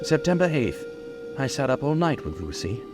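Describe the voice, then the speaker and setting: A man's voice: medium pitch, very animated, normal speed, lightly acted. A man playing an earnest young doctor, narrating his journal in a formal, dramatic old-time radio style. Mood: grave narration. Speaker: narrator and character. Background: prominent music.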